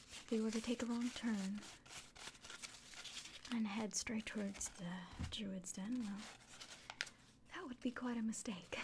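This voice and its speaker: Woman playing a whispering, slow-talking kindly fantasy innkeeper. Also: on the high side. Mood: soothing. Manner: gentle, reassuring, unhurried. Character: kindly, caring female fantasy innkeeper giving a quest. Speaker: ASMR roleplayer. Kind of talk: whispered ASMR fantasy roleplay.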